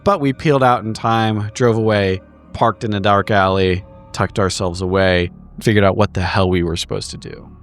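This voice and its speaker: A man's voice: on the low side, fairly even intonation, moderate pace. A man with a wry, wordy, articulate way of talking, narrating like a tabletop roleplaying podcast player. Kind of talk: casual banter and narrated session recap. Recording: music behind the voice. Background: music.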